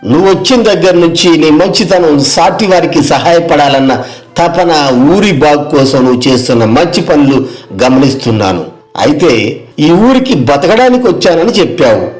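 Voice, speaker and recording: A man voicing a thoughtful, suspicious older village father who questions in a measured, courteous way in an audio story. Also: a little high, very animated, darkish tone. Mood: earnest, questioning. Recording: music behind the voice.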